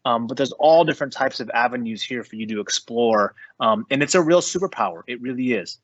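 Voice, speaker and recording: A man's voice: mid-range voice, lively intonation, brisk, no echo, highs cut off. A man giving earnest, emphatic advice as an investor on a panel over an online call. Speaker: investor and business adviser on a panel.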